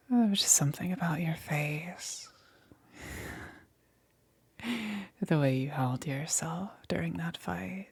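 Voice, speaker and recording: A sadistic demon queen played by a woman whispers in a very breathy, husky voice with gravelly fry at the edges. She speaks extremely slowly, taunting and condescending, as if toying with cornered prey in an ASMR roleplay. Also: lowish voice, a little mellow, exaggerated voice. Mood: condescending menace. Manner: slow, taunting, drawn-out condescending delivery.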